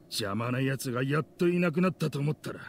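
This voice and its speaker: An actor playing a bitter, vengeful middle-aged man in an anime game, speaking with rough, contemptuous, theatrical accusation. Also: medium pitch, brisk, slight background noise, natural voice. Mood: bitter, menacing. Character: bitter, vengeful man in a grudge-driven confrontation.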